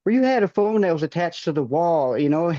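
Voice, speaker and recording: 40-something guy, kinda high voice, hesitant with lots of ums, dull dry call audio. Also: big pitch swings, a little fast, dark tone, no echo. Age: 40s. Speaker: podcast guest. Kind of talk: casual interview chat.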